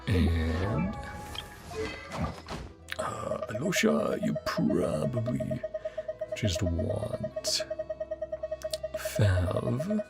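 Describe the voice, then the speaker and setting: Casual male game streamer, animated range, creaky voice. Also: low-pitched, very slow, pauses often, over loud music. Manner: muttering to himself while thinking. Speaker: streamer playing a video game. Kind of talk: casual gameplay commentary.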